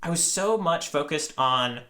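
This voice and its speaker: A man's voice: on the high side, animated, measured pace, pushed and bright. A man co-hosting a podcast in casual, rambling, conversational chat. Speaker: podcast co-host.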